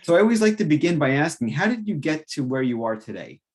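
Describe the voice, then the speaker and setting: A man's voice: a little high, big pitch swings, fast talker. A man hosting an interview show who asks questions and reacts with enthusiastic, encouraging remarks.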